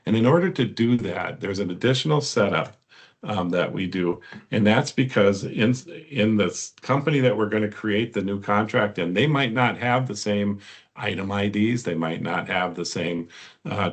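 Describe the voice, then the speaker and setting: A man with a fairly low voice demonstrates software in an unscripted walkthrough. He explains one step at a time. His delivery is halting as he works through each part.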